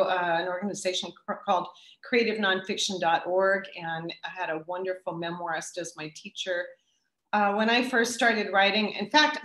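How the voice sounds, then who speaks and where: A middle-aged woman speaking at an average pitch, answering questions in a thoughtful way. She pauses often and restarts her sentences as she works out what she wants to say. Her voice carries a noticeable room echo. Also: fairly bright tone. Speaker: guest speaker. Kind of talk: answering audience questions at a talk.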